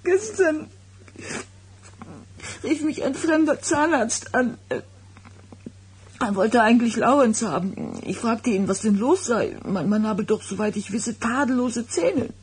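An actress playing an anxious, hurt pregnant wife in a German radio drama. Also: female voice, fairly high pitch, lively intonation, a little slow, long pauses, husky, exaggerated voice. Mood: distraught.

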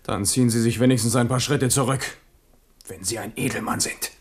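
A man's voice: medium pitch, brisk, slight background noise, close to an everyday voice. Actor as wary, ardent captive young king. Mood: indignant, pleading. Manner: questioning, intense. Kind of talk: 1970s German radio play acting.

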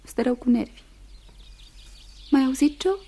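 Tinere îndrăgostite, pătimașă, voce înaltă. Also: female voice, sits high, mellow. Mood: pleading, insistent. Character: passionate, jealous young woman in love, pleading and reproachful. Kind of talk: Romanian radio theatre acting.

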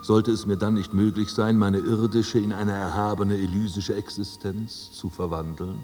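A man's voice: fairly low pitch, little pitch movement, moderate pace, dark tone, close to an everyday voice. A male actor plays a dead aristocratic prince in a radio drama, haughty and wryly indignant. His diction is ornate and pompous. The voice rings in a long hall reverb, with faint music beneath.

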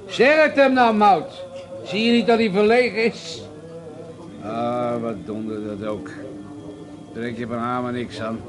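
An actor playing a crude, boozy, domineering older man in a Dutch radio play, gruff and mocking, given to swearing bluster. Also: mid-range voice, a little slow, pauses often, over music, close to an everyday voice. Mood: loud, jovial mockery.

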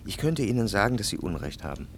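An actor playing a jealous, sorrowful lover in a radio drama, solemn, with deliberate phrasing and heavy pauses. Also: male voice, lowish voice, big pitch swings, slight background noise.

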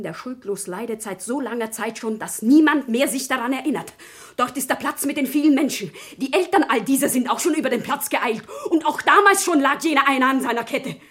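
An actress playing an impassioned, defiant shopkeeper's wife in Paris, pleading dramatically in a classic German radio play. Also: female voice, sits high, fairly bright tone.

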